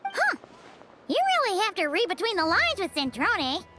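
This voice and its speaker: A woman voicing a tiny, excitable, childlike fairy companion in a video game, bubbly and chatty. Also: extremely high pitch, normal speed, very noisy, full character voice. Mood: chatty, wry. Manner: refers to self in third person, exclaiming. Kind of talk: animated video game voice acting. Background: music.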